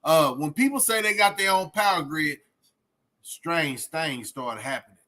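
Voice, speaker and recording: Male streamer, high forceful voice, streetwise casual opinion talk. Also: expressive, a little slow. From Texas, United States. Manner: streetwise slangy talk with frequent "man" and "bro". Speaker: host of a reaction livestream. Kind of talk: casual opinionated rant to viewers.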